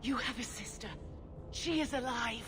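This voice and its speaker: A woman's voice: on the high side, normal speed, over music, natural voice. An actress playing a proud female mage villain in a video game, cornered, urgent and calculating as she bargains. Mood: urgent bargaining. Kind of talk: dramatic video game acting.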